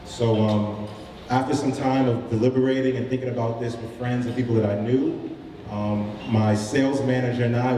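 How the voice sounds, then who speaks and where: A man who founded a startup gives an informal pitch talk to a live audience, with many fillers and restarts. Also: mellow, a little hiss or hum. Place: event room with audience. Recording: roomy hall, mic from a distance.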